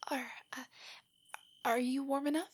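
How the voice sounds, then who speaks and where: A woman playing a nervous, nerdy, affectionate young girlfriend in an intimate audio roleplay, speaking softly and breathily in a hesitant, earnest way. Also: high voice, steady tone, a little slow. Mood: shy, tender. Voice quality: soft, breathy, whispery. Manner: hesitant, rambling, earnestly explanatory.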